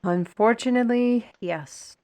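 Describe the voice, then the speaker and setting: Woman playing a slow-spoken, proud fantasy steward. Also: on the high side, a little mellow, close to an everyday voice. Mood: rueful. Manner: formal, deliberate, indignant. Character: proud, fiery, defiant woman artisan steward. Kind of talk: fantasy audio roleplay acting.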